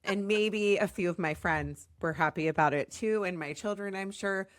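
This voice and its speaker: An American woman hosting a podcast in a chatty, rambling, self-deprecating way, her voice slightly raspy as it recovers from being lost. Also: medium pitch, moderate pace, dry recording, studio quality. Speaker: podcast host.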